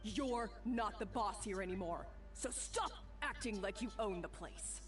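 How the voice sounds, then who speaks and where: A woman's voice: mid-range voice, unhurried, far from the mic, music in the background, close to an everyday voice. A woman voicing a haughty, hot-tempered young head chef in a video game, curt and imperious. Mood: angry, defensive.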